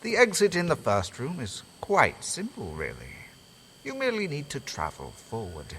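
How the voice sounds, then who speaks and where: A man's voice: fairly high pitch, wildly swooping pitch, moderate pace, a bit bright, slight background noise, heavily acted. An actor playing a theatrical, sinister male asylum master who speaks with grand formality, mocks his guests and laughs with glee. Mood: sly. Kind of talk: fan audio drama acting.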